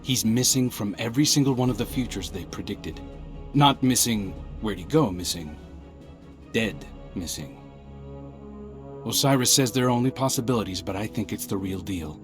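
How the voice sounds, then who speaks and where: Guy voicing earnest wry young scout in a sci-fi game, lowish voice, urgent n conversational, music going behind him. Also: expressive, normal speed, close to an everyday voice. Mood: grave, urgent. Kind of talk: video game voice acting. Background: game soundtrack music.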